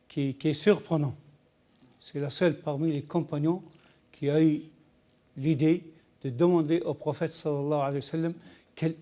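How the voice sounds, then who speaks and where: A man explaining religious teaching as a talk show guest, in a halting way that often repeats words. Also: on the high side, dark tone.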